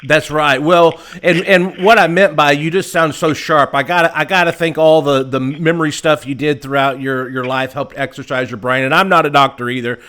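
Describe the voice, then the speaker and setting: A man's voice: on the high side, fairly even intonation, moderate pace, hardly any pauses, pushed and bright. A man in his forties hosting an interview, asking eager questions with frequent restarts. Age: 40s. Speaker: host, memory trainer. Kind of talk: casual interview.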